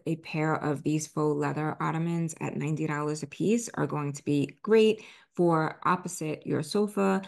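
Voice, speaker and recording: A woman in her forties presents home decor in a conversational voice-over. Her voice sits quite low and has a clear vocal fry. She explains things plainly, like a host walking viewers through products. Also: a little mellow.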